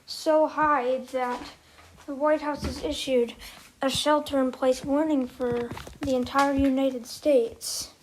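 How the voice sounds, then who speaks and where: A woman reading a scripted mock emergency broadcast as its announcer, in a halting, amateur way with pauses mid-sentence. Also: sits high, darkish tone, a little hiss or hum, rough recording. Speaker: emergency broadcast announcer in a hobby scenario video.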